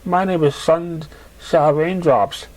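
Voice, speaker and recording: A man voicing a sweet, apologetic young pony mare in an amateur story reading, soft and politely flustered. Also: on the high side, fairly even intonation, slow, pitched above the performer's own voice, poor audio.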